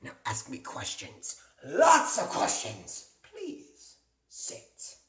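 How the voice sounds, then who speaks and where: Volatile, brooding maniac. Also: male voice, sits high, wildly swooping pitch, very slow, reverberant, heavily acted. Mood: eerily friendly. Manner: theatrical, erratic, swinging from hushed to shrieking. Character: unhinged, brooding male homicidal maniac, articulate and volatile. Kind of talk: comic dub acting.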